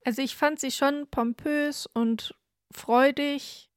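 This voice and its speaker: A woman's voice: high voice, steady tone, takes it slowly, no room sound, clean studio sound. A young woman born in Peru co-hosting a casual podcast, chatting and sharing prepared facts in a self-deprecating way. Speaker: podcast co-host. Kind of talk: casual chat with prepared fact segments.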